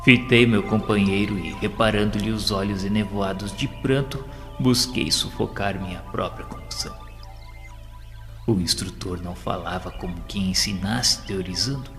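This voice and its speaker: Low-voiced solemn male narrator in reverb. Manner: solemn, formal, measured. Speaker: narrator of an audio novel. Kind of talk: scripted dramatic narration of a spiritual story. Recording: long reverb with faint music behind. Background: music.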